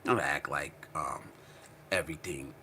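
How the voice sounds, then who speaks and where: A man's voice: a bass voice, measured pace, sharp tone, a little hiss or hum. An American man chatting casually as a livestream host, slangy and informal, repeating phrases for emphasis. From Connecticut, United States. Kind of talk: casual chat, livestream commentary answering viewer comments.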